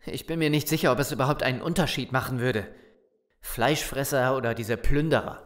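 An actor playing a grim, pragmatic survivor in an audio drama, terse and resolute. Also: male voice, medium pitch, fairly quick, natural voice. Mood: reluctant. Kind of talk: German audio drama acting.

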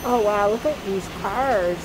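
A woman's voice: medium pitch, unhurried, noisy background. A woman vlogger narrating a walk through an indoor train museum, exclaiming over every detail. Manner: gushing, exclamatory. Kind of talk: casual walkthrough narration.

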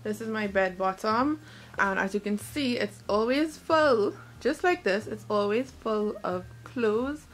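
A woman's voice: mid-range voice, measured pace, a little hiss or hum. A woman in her twenties chatting casually to a handheld camera in a bedroom, rambling and correcting herself as she goes. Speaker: vlogger. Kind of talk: casual vlog chat. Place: small bedroom, indoors. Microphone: handheld camera at arm's length.

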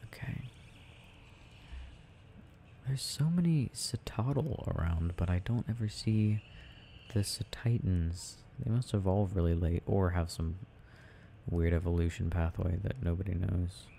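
A man playing a sleepy, tender boyfriend in a soft ASMR roleplay, murmuring to himself as he games and sometimes dropping into a whisper. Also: low-pitched, unhurried, gravelly, a little hiss or hum. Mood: absorbed, mildly puzzled. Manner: murmuring, dropping into whispers, hesitant self-talk. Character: sleepy, tender, sensitive boyfriend rambling while gaming. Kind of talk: soft intimate ASMR roleplay, unhurried rambling.

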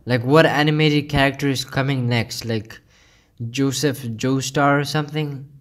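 A young man from Pakistan speaks, mocking what he watches in a loose, rambling way full of filler words. His voice sits at an ordinary middle pitch. He sounds like a casual comedic commentator talking straight into his mic. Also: steady tone, normal speed, background noise. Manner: sarcastic, mocking, rambling with many "like"s. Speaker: video commentator.

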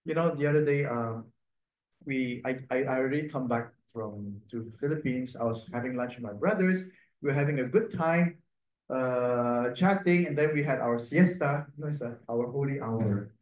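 A man, a priest and native Cantonese speaker, giving a gentle, conversational reflection on the Sunday readings, often adding a questioning "no?". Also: mid-range voice, moderate pace. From Cantonese-speaking region. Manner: gentle, conversational, tag "no?" and soft laughs. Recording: echoey room.

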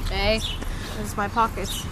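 A teenage girl joking around in a suburban backyard, calling loudly to the dogs between giggles, recorded on a handheld phone. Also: mid-range voice, little pitch movement, measured pace. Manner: giggly, loud calls to dogs. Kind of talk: playful backyard roleplay chat. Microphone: handheld phone camera. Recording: noisy outdoor phone recording.

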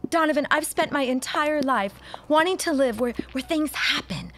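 A woman's voice: high voice, moderate pace, a bit bright, slight background noise. A woman playing a restless, genteel young widow, wistful and wry, in a period audio drama. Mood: wistful longing.

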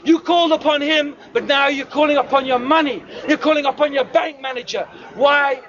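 A man preaching outdoors to a crowd, shouting fiery challenges and punctuating his points with a sharp "huh?". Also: very high voice. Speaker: street preacher. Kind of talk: open-air shouted preaching to passers-by. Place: outdoors in a city, crowd around. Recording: dull lo-fi outdoor recording with crowd.

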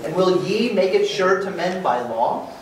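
A man's voice: high-pitched, lively intonation, unhurried. A man playing an impassioned, devout rebel holy man in a dramatic reading of an archaic verse play, declaiming his lines. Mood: impassioned, defiant. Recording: echoey conference room, distant, faint noise.

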